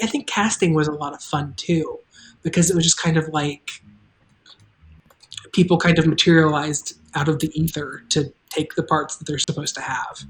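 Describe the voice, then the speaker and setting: A show creator hosting a live stream, talking casually and haltingly about making the show, with offhand asides. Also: mid-range voice, a bit bright, rough recording. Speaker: show creator and table read host.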